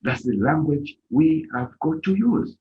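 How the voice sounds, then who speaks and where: A man's voice: fairly high pitch, animated, unhurried. A middle-aged man from Zambia who leads an organization, giving an impassioned speech at a live-streamed event and stressing his key points hard. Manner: emphatic, rising to near-shouts on key points. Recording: dull lo-fi stream audio.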